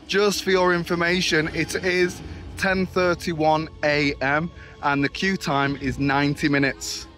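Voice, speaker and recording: A man's voice: a little high, level tone, measured pace, a bit bright. A young man giving a casual, enthusiastic vlog tour outdoors at a theme park, recorded on a handheld camera. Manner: chatty, enthusiastic tour guiding.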